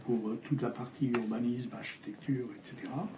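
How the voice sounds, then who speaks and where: An older man, originally from Algeria with Spanish as his first language, speaking haltingly with many fillers as an interview guest. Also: mid-range voice, roomy sound, background noise. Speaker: architect guest.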